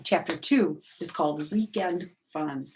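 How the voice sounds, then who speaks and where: A woman's voice: deep voice, measured pace, noisy background. A middle-aged or older woman reading a children's book aloud expressively, with chatty asides to young listeners. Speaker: storyteller.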